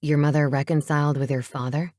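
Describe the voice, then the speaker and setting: Woman voicing a low-pitched, domineering teenage boy, coolly menacing. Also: fairly even intonation, brisk, warm tone, dry recording, studio quality, lightly acted. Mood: light, mocking. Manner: commanding, curt, sarcastic.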